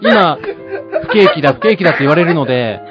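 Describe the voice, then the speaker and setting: A man plays an earnest, over-polite bartender in a comic radio skit. His pitch moves around a lot as he hesitates and half-laughs through his pitch. Loud music sits behind a dull recording. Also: very animated, quick pace. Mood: earnest, amused.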